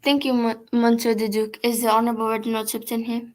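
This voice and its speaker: A formal, authoritative female magistrate in an old-style radio mystery, played by an amateur actress. Her voice is fairly high and very even as she questions witnesses. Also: quick pace, lightly acted. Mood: formal, measured. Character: formal, authoritative female French prefect judging a case.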